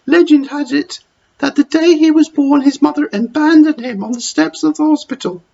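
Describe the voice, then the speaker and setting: A man voicing a gushing, soft-hearted older female dinner lady who talks in a high, fretful flutter. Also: moderate pace, gravelly. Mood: distraught. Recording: dull lo-fi recording.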